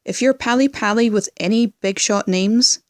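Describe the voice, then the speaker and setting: A woman's voice: on the high side, moderate pace, no room sound, studio quality, close to an everyday voice. A woman playing a scheming, domineering crime boss with a commanding, sardonic manner in an audio drama. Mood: exasperated, commanding. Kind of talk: fan audio drama acting.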